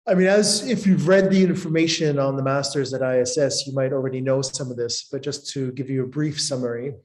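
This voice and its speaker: Middle-aged man from Canada, professor type, halting delivery, video-call audio. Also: mid-range voice, a little mellow. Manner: hesitant, many ums and restarts. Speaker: professor and programme convener. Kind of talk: webinar presentation.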